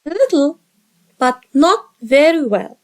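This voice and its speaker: Female English teacher with a high voice, leading repeat-after-me practice. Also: very animated, a little mellow. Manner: slow, carefully spaced drill reading. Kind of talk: repeat-after-me dialogue drill.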